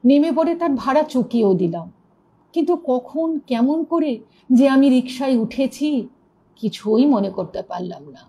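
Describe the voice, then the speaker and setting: A woman storyteller reading a ghost story aloud in an expressive, suspenseful narrating manner. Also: sits high, unhurried, slightly rough.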